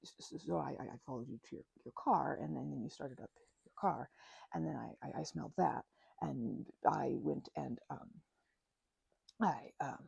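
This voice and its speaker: Woman acting a timid, stammering, husky vampire. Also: rumbling low, a little mellow, dry recording, lo-fi sound, heavily acted. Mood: sheepish, embarrassed. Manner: stammering, halting, nervous laughter, rambling apologies. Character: timid, apologetic, grateful female vampire, awkward and nervous. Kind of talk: audio roleplay addressed to the listener.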